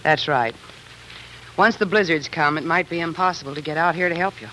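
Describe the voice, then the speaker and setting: A man's voice: on the high side, brisk, pushed and bright. An actor playing a kindly, firm, fatherly frontier man in a 1940s radio drama. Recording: noisy 1940s radio broadcast recording.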